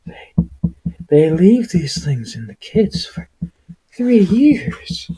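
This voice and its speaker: A man's voice: fairly high pitch, very animated, extremely slow, dark tone, husky, pitched above the performer's own voice, heavily acted, rough recording. A man voicing a rattled, urgent doctor who speaks in a shaky, exclamatory way. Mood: shaken, indignant. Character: rattled male doctor, urgent, barking orders, indignant.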